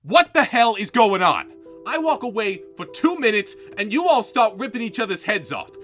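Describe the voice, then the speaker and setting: A man voicing an exasperated male show host who scolds and shouts over chaos. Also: very high voice, wildly swooping pitch, takes it slowly, soft background music, exaggerated voice.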